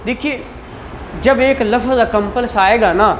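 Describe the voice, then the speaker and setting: A woman's voice: on the high side, measured pace. A woman with a Pakistani accent giving an explanatory law lecture, often checking that students follow with short tag questions. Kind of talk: explanatory classroom lecture mixing Urdu and English legal terms. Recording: clearly noisy room recording.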